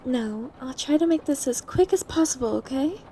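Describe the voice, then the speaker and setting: A woman playing an obsessive female vampire lab technician in an ASMR roleplay, coy, softly teasing and eerily polite as she explains herself to the listener. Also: high-pitched, lively intonation, normal speed, a little hiss or hum. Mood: chatty, polite.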